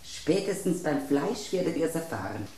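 Mellow, dry cynical table knife. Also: female voice, low-pitched, very animated, moderate pace, roomy sound, slight background noise. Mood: dry, sardonic. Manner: dry, sardonic. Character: cynical, suspicious silver table knife with dulling blade. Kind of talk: German radio play acting.

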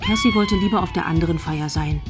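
Actress as female storyteller, lowish voice, scripted crime drama narration, music underneath. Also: fast, lightly acted. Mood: measured.

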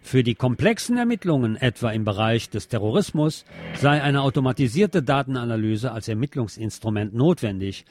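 A man's voice: medium pitch, animated, a little fast, darkish tone. A man presenting scripted news commentary as a radio presenter, with pointed, sardonic phrasing.

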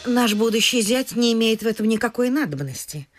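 A woman's voice: fairly high pitch, animated, fairly quick, faint music behind, natural voice. A woman playing a proud, fussy middle-aged landowner's wife in a Russian radio vaudeville, eager and theatrical. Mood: smug, eager.

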